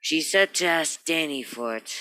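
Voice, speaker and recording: A man plays an earnest old-time radio police sergeant. His bright, crisp voice lays out every point with stiff, long-winded formality and comic pomposity. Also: fairly high pitch, animated, normal speed. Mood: earnest, pompous. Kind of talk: 1950s radio comedy-drama acting.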